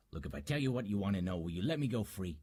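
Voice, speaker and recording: Casting: man to play a desperate, crooked manager for 1940s radio drama, lively pitch swings, husky mid-range voice. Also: brisk, heavily acted. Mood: confessing. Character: shifty, desperate, scheming talent manager, man.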